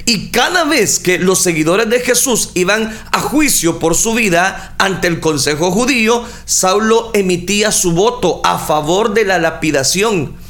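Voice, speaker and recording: A man preaching a sermon, speaking earnestly and addressing the listeners directly, with frequent pauses and fillers. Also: high-pitched, lively intonation, fairly bright tone. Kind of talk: sermon on scripture.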